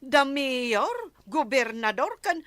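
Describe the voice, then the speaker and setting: Excitable village gossip, female actor, Ilocano accent, high and slow-paced with big swooping intonation, radio comedy. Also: background noise, full character voice. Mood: gossipy. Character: gossipy village woman excited for a grand party. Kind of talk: comedy radio drama acting.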